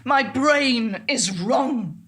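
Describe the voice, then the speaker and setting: A woman's voice: on the high side, animated, extremely slow, a bit bright, breathy edge, full character voice. An actress playing a frail, confused elderly woman in a theatrical monologue, wistful and often losing her words. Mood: troubled. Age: older.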